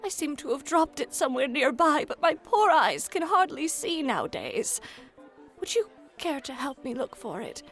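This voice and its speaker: Elderly villager for fantasy roleplay, played by a woman: very high voice, anxious, kindly, earnest pleading. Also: very animated, normal speed, a bit bright, faint music behind.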